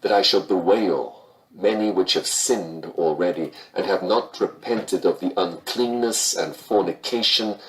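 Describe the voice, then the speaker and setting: A man giving a solemn scripture reading in a measured, formal delivery. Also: low-pitched, low-quality recording. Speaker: scripture reader.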